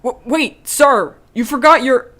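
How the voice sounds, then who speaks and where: A man's voice: very high voice, measured pace, sharp tone, faint music behind, full character voice. A man playing a weary bartender in an audio drama, casual and reluctant in manner. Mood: surprised. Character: weary, gossipy tavern bartender. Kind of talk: dramatic audio fiction acting.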